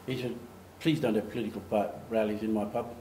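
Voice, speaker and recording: An older man from Australia, giving an animated storytelling talk at a lectern in a meeting room. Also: on the low side, fast, slight background noise. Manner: animated, gestures, acts out quoted voices. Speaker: speaker giving a slide talk. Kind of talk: storytelling presentation. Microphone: far camera. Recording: echoey room, faint ambience.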